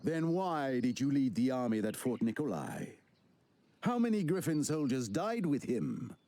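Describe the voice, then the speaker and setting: An actor playing an older, weary male wizard mentor in a video game cutscene, speaking in a measured, solemn way with a dry teasing edge. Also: mid-range voice, big pitch swings, dark tone, a little hiss or hum. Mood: grave. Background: game score music.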